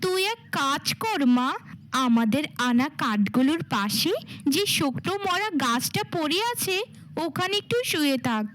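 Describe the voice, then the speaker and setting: Woman voicing a high, swooping, scheming cartoon stepmother. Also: lively intonation, a little slow, a bit bright, rough recording. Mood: sugary, coaxing. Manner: theatrical, coaxing and cajoling. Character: scheming, cruel stepmother feigning sugary motherly love. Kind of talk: Bengali cartoon fairy-tale voice acting.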